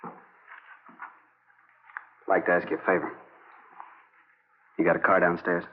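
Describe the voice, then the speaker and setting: A man's voice: sits low, little pitch movement, unhurried, a little hiss or hum. An actor playing a shaken but forgiving army captain in his thirties in a 1950s radio drama. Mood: tender, subdued. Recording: muffled narrow-band old radio recording.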